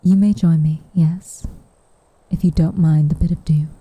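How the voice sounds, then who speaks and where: A woman playing a gentle, wistful witch in an intimate ASMR roleplay, speaking softly and half-whispered, in a measured, tender way. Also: sits low, fairly even intonation, no echo. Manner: soft, breathy, tender, measured delivery. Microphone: close microphone.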